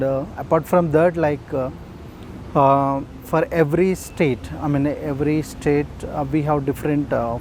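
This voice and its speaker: A man's voice: a little high, mellow, slight background noise, low-quality recording. Hesitant Indian man, street interview. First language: Urdu. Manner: hesitant, many fillers.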